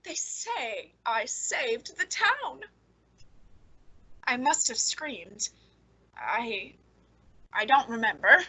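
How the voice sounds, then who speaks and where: A woman's voice: fairly high pitch, very animated, drawn-out words, long pauses, sharp tone, husky, no echo, exaggerated voice, low-quality recording. An actress playing a lonely, eager, nervously polite woman in an old-time radio suspense drama, hesitant and genteel. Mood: wistful, elated.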